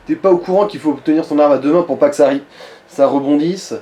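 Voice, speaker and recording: A man's voice: a little high, fast, dark tone, roomy sound. A man giving casual, coarse and slangy gaming commentary as he guides another player. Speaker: let's play co-host guiding the player.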